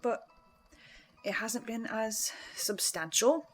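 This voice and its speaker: A woman playing a hesitant, hungry archivist in an audio drama, speaking haltingly with small stammers. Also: on the high side, animated, soft background music. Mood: uneasy, halting. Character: hesitant, hungry marked archivist recording a podcast reaction.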